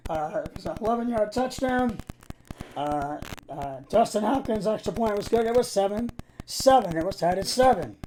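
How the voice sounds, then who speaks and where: Male amateur sports host, raspy mid-high voice, halting and stumbling delivery, noticeable background noise. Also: warm tone, low-quality recording. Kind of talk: amateur football game recap.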